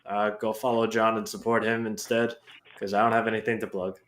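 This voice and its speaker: A man's voice: lowish voice, flat delivery, fairly quick, a bit bright, slight background noise. Laid-back man with a Brooklyn accent. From New York. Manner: laid-back, plainspoken, easygoing. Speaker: grappling guest analyst. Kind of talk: casual chat.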